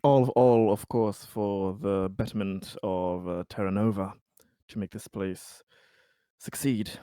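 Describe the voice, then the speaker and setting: A man playing a tabletop game, talking casually and in character in a deliberate, halting way. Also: lowish voice, fairly even intonation, mellow, clean tone, no echo, studio quality. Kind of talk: casual in-game chat and role-play.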